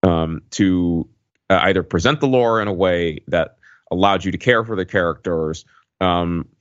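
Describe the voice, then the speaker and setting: A man's voice: low-pitched, unhurried, clear, resonant tone, dry recording, dull audio. A man co-hosting a podcast, talking casually and analytically about pop culture, with lots of "like" and "right" in his phrasing. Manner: hedging, filler-heavy phrasing with frequent "like" and "right". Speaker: podcast co-host.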